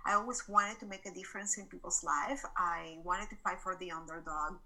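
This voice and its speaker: A bright, clear-toned woman. She is a podcast guest recounting her life in an earnest, reflective manner, often restarting her phrases as she thinks. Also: fairly low pitch, fairly even intonation, a little fast, low-quality recording. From Venezuela. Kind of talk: personal storytelling in an interview.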